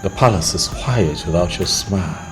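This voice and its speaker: A man's voice: low voice, normal speed, over music, natural voice. A man playing a remorseful king begging forgiveness. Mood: pleading. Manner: soft, pleading. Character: remorseful young king pleading with his wife.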